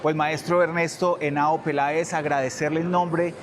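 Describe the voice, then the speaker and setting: A man's voice: on the high side, little pitch movement, moderate pace. A man with a Colombian accent interviewing in a formal, respectful manner. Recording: clear outdoor traffic noise.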